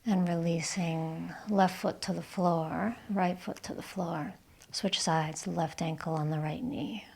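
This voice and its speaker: A woman leading a guided yoga and relaxation session as the instructor, speaking in a gentle, calm, soothing way with breathy cues. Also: lowish voice, takes it slowly. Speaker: yoga instructor and channel host.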